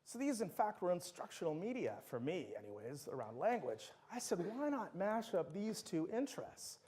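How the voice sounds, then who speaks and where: A man's voice: sits high, very animated, moderate pace, warm tone. A middle-aged man, a native Dutch speaker, giving an enthusiastic university lecture full of anecdotes. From Netherlands.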